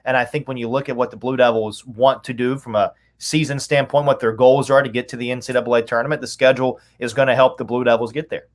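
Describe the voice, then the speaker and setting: A man's voice: medium pitch, steady tone, quick pace, hardly any pauses. A man in his thirties giving conversational sports commentary as a guest on a video call from a room at home. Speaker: guest, radio play-by-play announcer.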